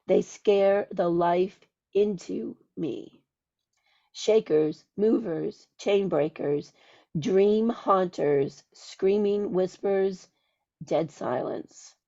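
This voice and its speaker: A woman hosting a podcast, her voice squeaky from a cold, chatting casually and then slowly reading a ritual invocation. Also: gravelly, dark tone, highs cut off. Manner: slow, deliberate, chanting readings. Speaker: podcast host.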